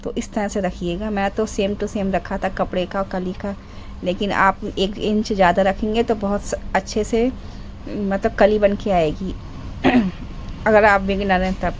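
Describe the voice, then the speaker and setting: A middle-aged woman with a sore, hoarse throat, doing a voice-over for a sewing tutorial and explaining each step patiently, clearing her throat often. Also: medium pitch, steady tone, measured pace, a little hiss or hum, rough recording. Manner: step-by-step, repeats instructions. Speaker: sewing channel host.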